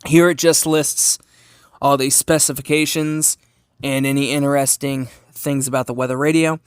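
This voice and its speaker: A man's voice: mid-range voice, clear, resonant tone, no room sound, clean studio sound. A man giving a casual, unscripted hands-on product review in an explanatory way, as a hobbyist. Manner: explanatory, asks and answers own questions. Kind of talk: casual unscripted gadget demonstration. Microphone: phone camera held while demonstrating.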